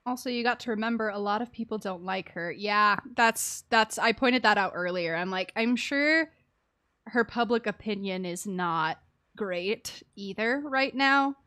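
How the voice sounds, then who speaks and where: A woman's voice: medium pitch, unhurried, sharp tone. A woman giving casual, sympathetic live commentary as she streams a game, chatty and giggly, using pet names.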